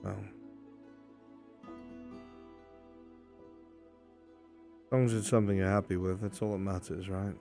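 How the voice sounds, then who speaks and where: Man playing a wry, low-voiced fantasy outsider. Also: little pitch movement, fast, warm tone, faint music behind. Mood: wry, reassuring. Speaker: roleplayer in a streamed VR game. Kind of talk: improvised fantasy roleplay, casual conversational.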